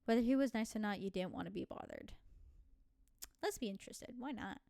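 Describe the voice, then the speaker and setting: A woman streams a story game in a very dry, close recording. She reads narration at a middling pitch and slips into informal, giggly asides in her own voice. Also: animated, fairly quick, creaky, warm tone, no echo. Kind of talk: let's-play, reading visual novel narration aloud with casual asides.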